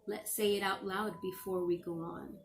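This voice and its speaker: A middle-aged woman reading a picture book aloud to young children as a teacher, expressively and with deliberate, drawn-out phrasing. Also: mid-range voice, steady tone, creaky, noisy background.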